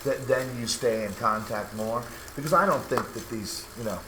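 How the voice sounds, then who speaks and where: A man's voice: mid-range voice, moderate pace. Upbeat jokey male host with background noise. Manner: upbeat, asks questions, jokey. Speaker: host, interviewer. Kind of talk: casual chat during group ride. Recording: noisy background.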